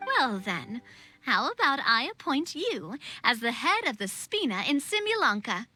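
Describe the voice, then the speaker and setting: A woman's voice: sits high, sing-song, unhurried, pushed and bright, no room sound, heavily acted. A woman playing a confident, kind young queenly leader in a video game, with a theatrical, upbeat delivery. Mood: playful, assured. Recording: music under the voice.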